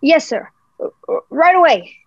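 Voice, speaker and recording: Young woman as high, timid stammering boy. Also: sing-song, moderate pace. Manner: stammering, deferential. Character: nervous, polite boy at an inn. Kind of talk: amateur radio play acting. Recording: dull lo-fi remote home recording.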